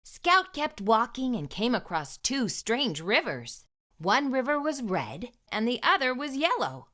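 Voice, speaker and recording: A woman reading a storybook aloud to young children, with expressive, emphatic delivery and deliberate phrasing. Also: on the high side.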